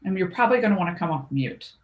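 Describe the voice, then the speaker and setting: A woman's voice: on the low side, expressive, rapid-fire, muffled recording. A woman moderating an online roundtable, introducing panelists with friendly, self-deprecating asides.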